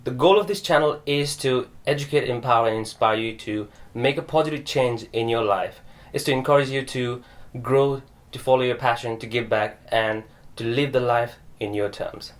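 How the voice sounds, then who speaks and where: Male podcast host, mid pitch, polished intro into easy interview chat. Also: moderate pace, hardly any pauses. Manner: friendly, mixes in Nepali phrases. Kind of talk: scripted intro then casual interview.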